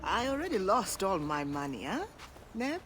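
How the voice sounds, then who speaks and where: An actor playing a brash, shady gambler with a sardonic, theatrical manner in a video game. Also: male voice, high voice, big pitch swings, normal speed, music in the background. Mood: weary, ominous. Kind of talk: dramatic video game acting.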